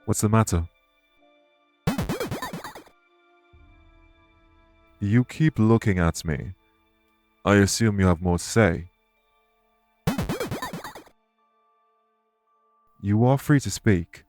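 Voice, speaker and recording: Audio roleplay lead: male actor as dignified ex-king and fae minister, low register, formal, reassuring delivery. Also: a little mellow, soft background music, close to an everyday voice. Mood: gently concerned. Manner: formal, measured, reassuring phrasing. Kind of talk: audio roleplay spoken to the listener.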